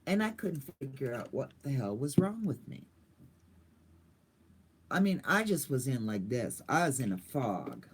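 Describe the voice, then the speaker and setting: A woman's voice: extremely low pitch, big pitch swings, a little slow, slightly rough. An older woman vlogging in a casual, rambling and goofy way, chatting to viewers. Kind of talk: casual livestream chat.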